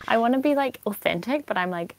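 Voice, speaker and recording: A woman's voice: a little high, lively intonation, normal speed, rough recording. A woman with a British-Kiwi accent, co-hosting a podcast in bubbly, self-conscious chat. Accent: British and New Zealand mix. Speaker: podcast co-host. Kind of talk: casual chat.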